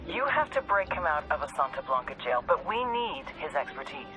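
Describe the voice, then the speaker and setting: An actress playing a brisk, authoritative, matter-of-fact intelligence handler giving orders in a video game. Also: female voice, low-pitched, very animated, poor audio. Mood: businesslike. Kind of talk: video game mission briefing. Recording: music behind.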